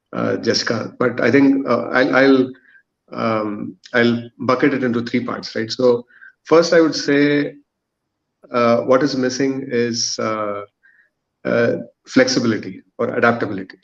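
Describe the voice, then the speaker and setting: A man's voice: medium pitch, creaky. Man in his thirties, dull lo-fi audio. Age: 30s. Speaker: podcast guest. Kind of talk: thoughtful panel discussion answer.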